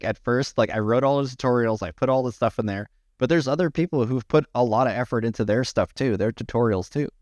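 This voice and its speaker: A man chatting casually to his livestream viewers, rambling with many restarts and sounding slightly hoarse after hours of talking. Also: lowish voice, darkish tone, dry recording. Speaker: livestreamer and AI art tutorial maker.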